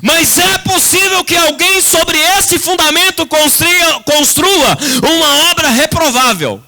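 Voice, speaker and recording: A Brazilian man preaches an impassioned sermon to his congregation. His voice sits extremely high for a man and is very bright and tense. He speaks emphatically and dramatically, repeating phrases and addressing his listeners directly. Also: animated, slow pace. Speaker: pastor preaching. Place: church service.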